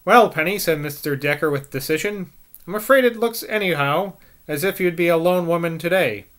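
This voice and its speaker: A man doing a mild, gentle old clergyman. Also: on the high side, fairly quick, natural voice. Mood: decisive. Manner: mild, soft-spoken. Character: gentle, mildly humorous older male clergyman.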